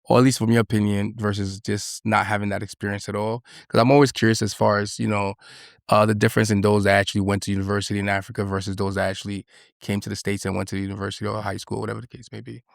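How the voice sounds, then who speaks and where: A male host asks his questions casually and quickly, in a lowish voice with a gravelly edge. The sound is dry and close. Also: level tone, no room sound. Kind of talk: interview hosting.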